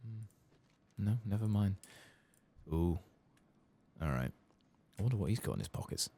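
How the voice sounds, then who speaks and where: A man giving soft-spoken ASMR commentary as he plays a video game, casual and sweary, muttering to himself. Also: lowish voice, lively intonation, normal speed, breathy edge. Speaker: streamer playing a role-playing video game.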